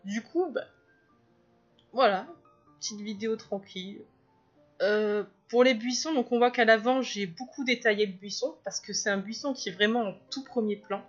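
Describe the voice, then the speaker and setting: A woman's voice: on the high side, moderate pace, lo-fi sound, faint music behind. A woman chatting casually as she talks through her illustration, rambling into asides and laughing often. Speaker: illustrator and video host. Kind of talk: casual drawing commentary.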